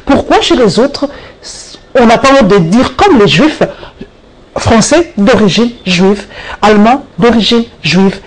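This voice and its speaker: TV interviewer, probing and insistent, medium pitch. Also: lively intonation, unhurried, low-quality recording. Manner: probing, pressing questions.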